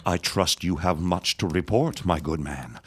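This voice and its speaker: Pompous, deep-voiced villainous old reverend. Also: male voice, measured pace, husky. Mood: pompous, falsely genial. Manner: pompous, florid, theatrical, sneering. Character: scheming, vengeful elder reverend and landowner, grandiose villain. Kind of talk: period radio drama acting.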